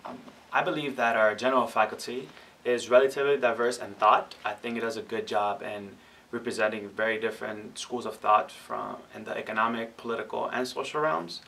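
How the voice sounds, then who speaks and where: A young man speaks as a student in an interview, choosing formal words with care. His voice is crisp-edged and bright with noticeable fry. The mic is distant, with faint background noise. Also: fairly low pitch, steady tone, brisk. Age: young adult. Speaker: college student. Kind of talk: measured interview opinion.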